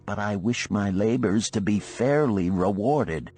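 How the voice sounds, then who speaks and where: A man's voice: on the low side, animated, a little slow, a little mellow, faint music behind. A man voicing a middle-aged, discontented chariot builder of ancient Babylon in a storybook, earnest and declamatory, with archaic phrasing. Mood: yearning, sad.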